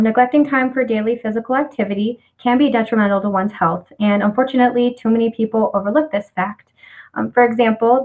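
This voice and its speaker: A woman's voice: on the high side, phone-quality sound. Young American woman presenting a study. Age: 20s. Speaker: student presenter.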